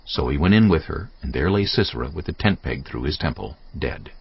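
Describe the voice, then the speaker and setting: Deep-voiced male scripture narrator, expressive. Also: brisk, gravelly, slightly rough, muffled recording. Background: music.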